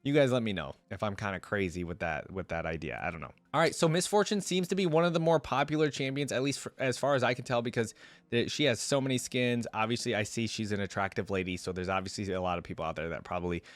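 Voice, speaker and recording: A man's voice: fairly low pitch, quick pace, no room sound. A man hosting a reaction video, giving informal, conversational commentary straight to viewers.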